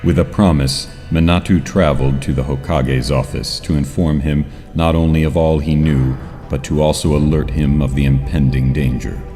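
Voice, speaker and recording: Grave male actor as ninja saga narrator, very low and reverberant. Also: steady tone, moderate pace, hardly any pauses, warm tone. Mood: solemn. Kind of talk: scripted story narration for an audio drama. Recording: long reverb, faint music behind.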